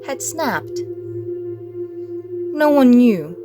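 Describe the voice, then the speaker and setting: A woman reads a children's story aloud at a slow, measured pace. Her delivery is expressive and scripted, made for listeners following a picture book. Music plays clearly behind her voice. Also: on the high side. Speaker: storybook reader.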